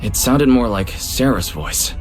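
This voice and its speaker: A gentle young man voiced by an actor with a mid-range voice. He sounds thoughtful and caring, in anime game style, with soft music behind. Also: medium pitch, animated, measured pace, lightly acted. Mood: puzzled.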